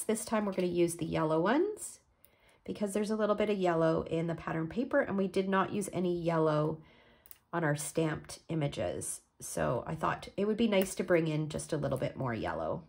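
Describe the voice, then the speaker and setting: A woman with a low, husky voice talks through a card-making project step by step as she works. She is a little stuffed up from a cold. Her tone is relaxed and easygoing. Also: normal speed. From Canada. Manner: relaxed, step-by-step explaining while working. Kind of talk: crafting tutorial.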